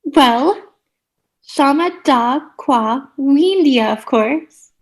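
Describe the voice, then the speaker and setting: Woman acting a high-voiced, bossy, cheery sitcom woman. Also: lively intonation, extremely slow, heavily acted. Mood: gushing, insistent. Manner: theatrical, gushing, condescending. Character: relentlessly cheery, bossy sitcom woman devoted to holiday rules. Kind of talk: comedic radio play acting.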